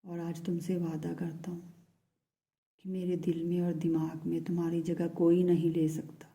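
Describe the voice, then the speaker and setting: A lovesick, pleading man, as a woman speaks his message in a very mellow, dark tone that stays flat and even. The delivery is soft and hushed and often sinks to a whisper. The pitch sits low for a woman. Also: moderate pace, natural voice. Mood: tender, pleading. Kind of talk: channelled love message in first person.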